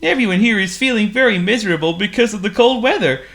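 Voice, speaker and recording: A man voicing a cheerful, chatty male penguin in a storybook reading, with a high sing-song delivery. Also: fast, a bit bright. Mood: gloomy.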